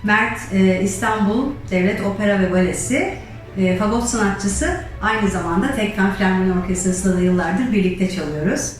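A woman's voice: mid-range voice, normal speed. A woman hosting an educational musical storytelling session, explaining things and addressing her audience directly. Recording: very echoey, distant microphone, faint music.

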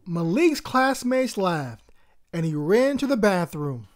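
A woman's voice: mid-range voice, very animated, takes it slowly. A woman hosting a story time, reading expressively as the narrator of a children's book.

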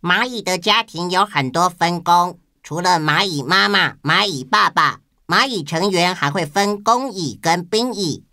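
Bright, lower-pitched woman. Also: a little slow, few pauses, dry recording, studio quality. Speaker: podcast co-host. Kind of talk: explaining facts to children.

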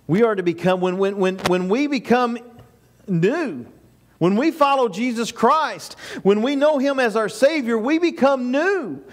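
A man's voice: very high voice, expressive, a little slow. An older man preaching a sermon to his congregation. He builds to emphatic peaks and repeats key phrases, recorded by a far camera in a small church. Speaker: pastor. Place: small church sanctuary with projection screen. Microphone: far camera, no mic seen.

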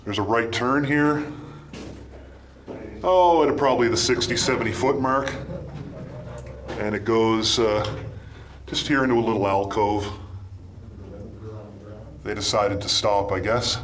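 A man's voice: fairly low pitch, measured pace, long pauses, a bit bright, slight background noise. Laid-back man narrating an exploration vlog. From Canada. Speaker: host of a mine exploration video series. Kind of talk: casual walk-through vlog commentary. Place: inside a small mine tunnel and outdoors in woods.

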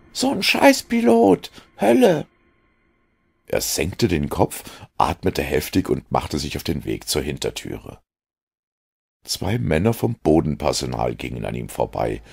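A man's voice: rumbling low, gravelly. A man narrating a scripted audio story in a dramatic reading style.

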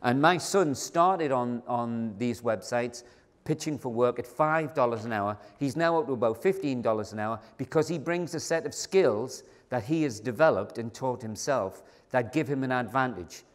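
A man's voice: mid-range voice, normal speed. An older man speaks to a packed conference hall in a conversational, emphatic way, putting questions straight to the audience. His voice sits in a middle register and carries a long reverb tail from the big room. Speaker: keynote speaker. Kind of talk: conference keynote talk.

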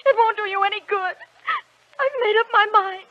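A woman's voice: extremely high pitch, normal speed. An actress playing a wounded, indignant young woman in a radio drama, scolding dramatically. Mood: hurt, indignant. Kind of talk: old-time radio drama acting. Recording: dull vintage radio recording.

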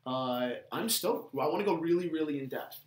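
A man's voice: a little high, fairly quick. A man in his twenties with an American accent chatting casually as a show host, often repeating words as he goes. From New Jersey, United States. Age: 20s. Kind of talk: casual chat. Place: small indoor room, seated at a table. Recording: roomy indoor echo.

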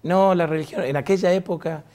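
A man's voice: high-pitched, steady tone, fairly quick, a little mellow. A middle-aged man from Argentina with an Argentine Spanish accent, a pastor telling his story as a guest in a TV studio interview, jovial and chatty, often laughing. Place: TV studio set.

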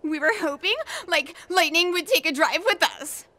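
A woman's voice: very high-pitched, moderate pace, sharp tone. A woman playing a giddy, gushing young race car superfan in a video game, squealing and drawing out her words. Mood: giddy adoration.

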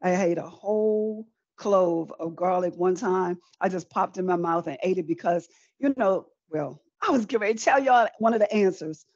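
A woman's voice: fairly low pitch, normal speed, a little mellow, no echo. A middle-aged woman giving a live online cooking demonstration, chatty and conversational, repeating phrases for emphasis as she teaches. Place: indoor room with folding screen, demo table. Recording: dull, lo-fi video-call audio.

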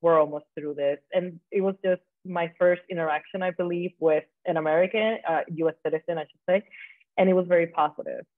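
A woman's voice: fairly low pitch, fairly even intonation, fairly quick, dry recording. Woman from the Dominican Republic on tinny call audio. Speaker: panelist. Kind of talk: personal career story on a panel.

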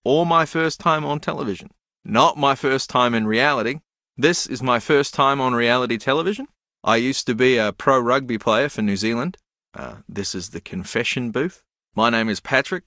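An actor playing a slow-witted, good-natured ex-rugby player in a video game, earnest, literal and befuddled. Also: male voice, medium pitch, pushed and bright, dry recording, clean studio sound.

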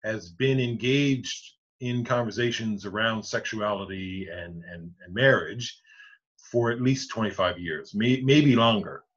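Measured priest on a muffled video call. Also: male voice, fairly low pitch, fairly bright tone, slightly rough. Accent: Newfoundland English. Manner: measured, explains step by step with many restarts. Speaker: Anglican priest, rector. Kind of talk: explanatory interview answers. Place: home study with bookshelves. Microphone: video call webcam.

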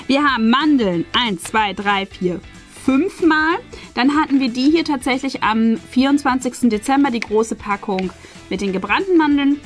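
High-voiced chatty woman hosting an unboxing. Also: animated, moderate pace. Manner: chatty, explanatory. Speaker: host of an unboxing channel. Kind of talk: casual unboxing chat to viewers. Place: small furnished indoor room. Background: faint background music.

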